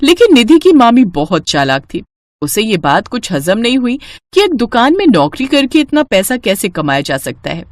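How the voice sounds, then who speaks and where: Woman, storybook narrator, calm scripted reading, lively pitch, dry close sound. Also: moderate pace, no room sound.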